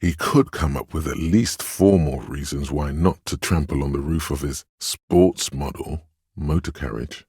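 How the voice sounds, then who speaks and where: A man voicing a game's empathetic inner voice, a perceptive and tender narrator with a husky, very deep voice and measured, literary delivery. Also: darkish tone, no echo, studio quality, exaggerated voice. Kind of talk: scripted game narration.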